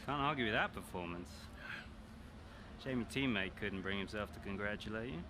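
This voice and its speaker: Game casting: male actor for scheming rival driver, low register, chummy but needling, naturalistic, noisy outdoor backdrop. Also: expressive, normal speed, lightly acted. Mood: chummy, snide. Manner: matey, insinuating. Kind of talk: naturalistic video game cutscene acting. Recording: clear outdoor ambience and vehicle noise.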